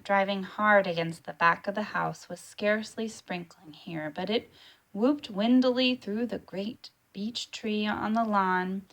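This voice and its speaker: Slow-paced woman reading a children's story. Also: mid-range voice, fairly bright tone. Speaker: storybook reader. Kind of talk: scripted children's story read-aloud.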